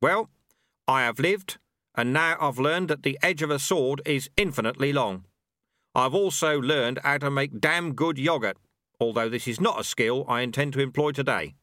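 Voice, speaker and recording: A man's voice: medium pitch, pushed and bright, no echo, studio quality, voice pushed up, natural voice. A man voicing a pleasant, confident armored horseman of chaos who speaks carefully in a fantasy audiobook. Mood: quietly confident. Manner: careful, deliberate.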